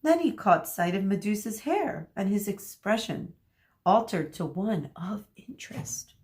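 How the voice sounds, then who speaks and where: A woman teacher reading a children's book aloud, pausing to explain words and giving the characters lively voices. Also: medium pitch. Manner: explains words for kids mid-story.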